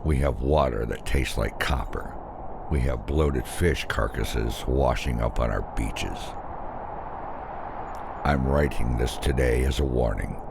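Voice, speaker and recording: A man narrating a scripted first-person horror story in an ominous, deliberate way. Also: a bass voice, gravelly, heavy background noise.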